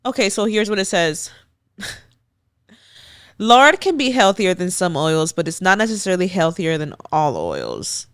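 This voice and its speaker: A woman's voice: lowish voice, very animated, measured pace, a bit bright, clear, resonant tone, no room sound, studio quality. Blunt, cursing woman with an LA Mexican American accent. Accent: Mexican American, Los Angeles. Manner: blunt, profane, exasperated rants. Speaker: podcast co-host. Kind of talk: casual chat.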